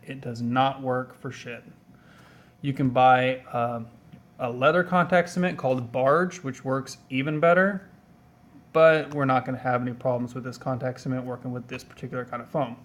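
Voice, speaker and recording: A man talks through a hobby how-to in a relaxed, unhurried way. His voice is plain and even, sitting at an ordinary middle pitch, and he wanders from point to point with frequent ums. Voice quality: flat, even voice. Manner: plain, low-key and meandering, with many ums. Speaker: hobby tutorial maker. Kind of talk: casual how-to tutorial.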